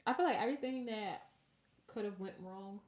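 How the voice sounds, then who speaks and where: A woman with a squeaky voice co-hosting a podcast in casual chat. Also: normal speed. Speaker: podcast co-host.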